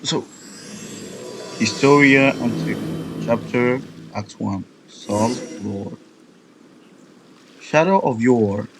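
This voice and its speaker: A man's voice: mid-range voice, extremely slow, long pauses, background noise. Man casually commentating over a game. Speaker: let's player.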